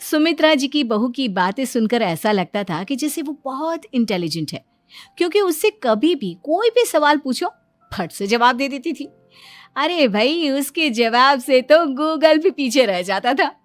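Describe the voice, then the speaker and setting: A woman tells a scripted comic story in a high, highly animated voice. She works as the narrator of the tale. The recording is dry, with faint music beneath. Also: measured pace, dry recording.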